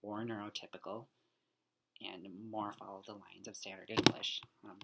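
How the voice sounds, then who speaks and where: A young man's voice sits very low as he talks through his thoughts on a book for class. He is earnest and unpolished, circling back over his words, and often drifts down into a breathy, half-whispered tone. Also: level tone, moderate pace, very noisy. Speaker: student. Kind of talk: casual spoken reflection on a book.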